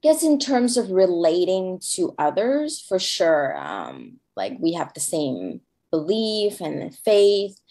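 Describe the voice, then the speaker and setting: A woman from Hong Kong with a Cantonese accent, speaking English as a second language as an interview guest, halting with many fillers and restarts. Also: on the low side, very animated, mellow. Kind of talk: interview conversation.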